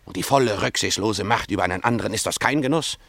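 A man's voice: lowish voice, fast, vocal fry, fairly bright tone, no echo. An actor in a German radio play, playing an obsessed, lovesick young Russian man, proud yet self-abasing, with an intense, brooding, theatrical delivery. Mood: agitated, bitter.

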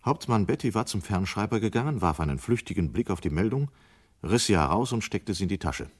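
An actor narrating a dystopian radio play in a grave, sober, measured way. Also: male voice, lowish voice, expressive, husky. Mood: sober, ominous.

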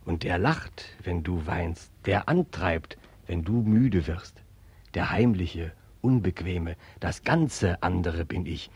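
Mysterious male alter ego, very low and dry, deliberate, probing, persistent, 1940s radio drama. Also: animated, a little mellow, slightly rough, dry recording, heavily acted. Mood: insistent. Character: mysterious, persistent male inner voice, insistent yes-sayer.

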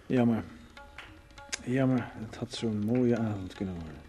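A brooding, short-tempered man performed by an actor in a Dutch radio play. His voice is on the low side and his delivery is terse and pointed. Music plays faintly underneath. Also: measured pace, warm tone, close to an everyday voice. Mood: ominous, emphatic. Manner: terse, emphatic. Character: curt, brooding, irritable man, grimly serious.

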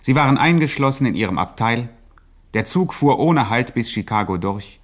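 A man narrating a radio crime satire in a measured, dryly ironic scripted style. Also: mid-range voice, expressive.

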